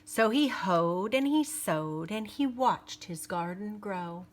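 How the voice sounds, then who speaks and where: A woman teacher reading a picture book aloud, pausing for teaching asides and dropping to playful whispers for suspense. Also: medium pitch, expressive, slight background noise.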